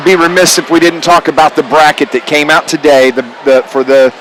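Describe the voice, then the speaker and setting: High-voiced male sports radio host. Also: fairly even intonation, brisk, a bit bright, a little hiss or hum, poor audio. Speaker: radio basketball commentator. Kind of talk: casual postgame interview chat.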